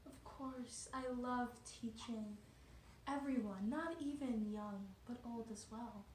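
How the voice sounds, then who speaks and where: A woman playing a devout religious sister from eighteenth-century France as an interview guest, speaking earnestly and a little haltingly in an amateur role-play. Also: on the high side, darkish tone. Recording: roomy, distant mic with background noise.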